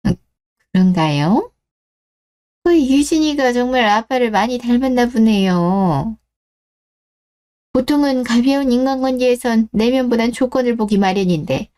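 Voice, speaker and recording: A middle-aged mother-in-law acted by a woman speaks in a mellow, soft-edged voice. She is formally polite yet condescending, probing in the measured way of a scripted radio story. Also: a little high, expressive, clean tone. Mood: coolly polite.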